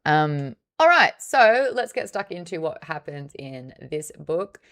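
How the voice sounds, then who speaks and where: Low-voiced, playful Australian woman hosting. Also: very animated, unhurried, a bit bright. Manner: playful, teasing, sarcastic. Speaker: livestream book club host. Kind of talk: casual livestream chat with viewers.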